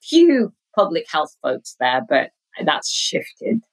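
Woman, British accent, forties, lowish voice, chatty, laughs often, podcast guest. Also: wildly swooping pitch, slow, husky. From Sudan. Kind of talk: conversational interview.

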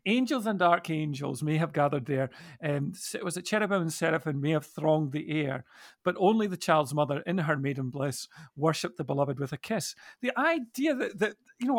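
A man co-hosting a reflective, conversational Bible discussion, musing in a halting but emphatic way. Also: sits high. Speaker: podcast co-host.

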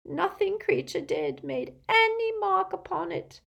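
A woman voicing a frightened, servile old male house-elf in an audiobook, tearful and halting as he speaks of himself in the third person. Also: very high-pitched, very animated, creaky, fairly bright tone, pitched above the performer's own voice, exaggerated voice. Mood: wretched, despairing. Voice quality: tearful, moaning, breaking voice.

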